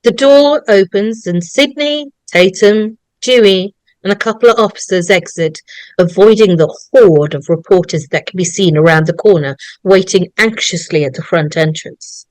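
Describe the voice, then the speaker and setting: A woman reading scripted stage directions as narrator, deliberate and storytelling. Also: mid-range voice, a little mellow, dry recording, rough recording.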